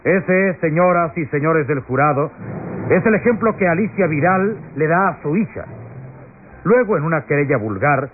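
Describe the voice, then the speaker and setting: An actor playing a stern, accusing prosecutor who addresses a jury with pompous, emphatic radio drama oratory. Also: male voice, a little high, lively intonation, normal speed, natural voice. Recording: tinny, noisy old radio recording.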